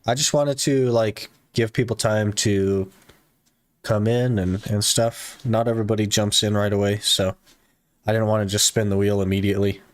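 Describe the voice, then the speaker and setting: A man's voice: fairly low pitch, normal speed, no echo. A man streaming a game live, chatting casually with his viewers and using many "like" fillers. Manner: relaxed, talks to chat with many "like" fillers. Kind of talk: casual live-stream chat while gaming.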